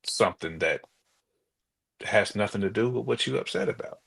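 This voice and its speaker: A man with a deep voice full of gravelly fry and a bright, forceful edge chats as a co-host. His phrasing is casual and colloquial. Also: animated, normal speed. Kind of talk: casual conversational chat.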